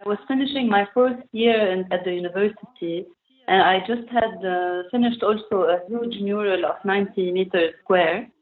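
A woman with a French accent translates answers into English, pausing often and searching for her words. She sounds thin and tinny over an internet call. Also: mid-range voice. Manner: hesitant, pausing with fillers.

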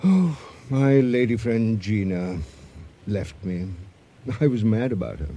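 An actor playing a kindly, gently awkward male teacher in a radio drama, earnest and hesitant. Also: on the low side, expressive, slow pace, full character voice. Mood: gentle, reflective. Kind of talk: 1980s radio drama acting. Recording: noisy vintage radio drama recording.

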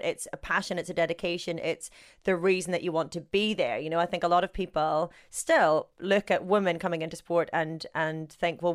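A woman with a Northern Irish accent, a sports journalist talking as an interview guest in a gregarious, self-deprecating way. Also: lowish voice. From Northern Ireland. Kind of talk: casual interview chat.

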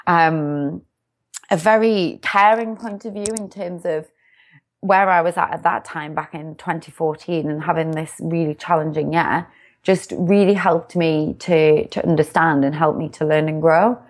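A woman's voice: sits low, steady tone, a little slow. A young woman from the UK, a success coach, talking as an interview guest in an upbeat, reflective way. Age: young adult. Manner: bubbly, upbeat, self-reflective. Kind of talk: casual interview chat.

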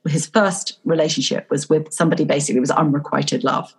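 A woman from Yorkshire, a coach and guest, talking in an animated storytelling way in a casual interview. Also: deep voice, quick pace, slightly rough. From Yorkshire, England.

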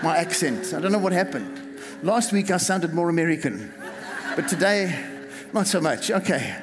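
A South African pastor preaching a fervent sermon, repeating words and calling on the congregation to respond. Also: male voice, on the high side, expressive, normal speed. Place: church hall. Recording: reverberant hall with music behind. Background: music.

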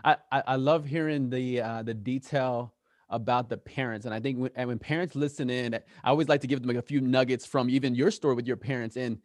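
An enthusiastic man hosts a podcast interview at a middle pitch. He talks with eager energy and often doubles words as he works toward a point. The sound is dry and close, with no room behind him. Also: a little fast, dry recording. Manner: enthusiastic, repeats words when excited. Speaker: podcast host.

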